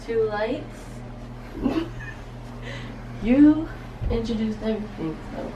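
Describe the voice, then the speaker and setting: A young woman giving an excited, giggly apartment tour, naming each thing she finds. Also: fairly high pitch, slow, dull audio. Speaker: vlogger. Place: small apartment indoors. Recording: noisy handheld home video.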